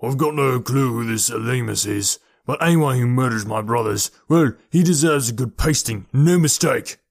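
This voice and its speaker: A man's voice: medium pitch, moderate pace, creaky, dry recording, clean studio sound. A man voicing an angry, protective tree-dweller with a growling, threatening manner, as an audiobook character.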